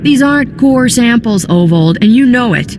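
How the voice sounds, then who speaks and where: A woman's voice: a little high, expressive, normal speed, background noise, natural voice. A woman playing a tough, confident smuggler captain in a video game, blunt and wry. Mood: defiant, demanding.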